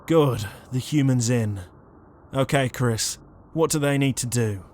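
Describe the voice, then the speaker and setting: A man's voice: medium pitch, measured pace, a little mellow, lightly acted. A man playing an earnest ghost ringleader in an audio drama, bossy but easily flustered. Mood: earnest, in charge.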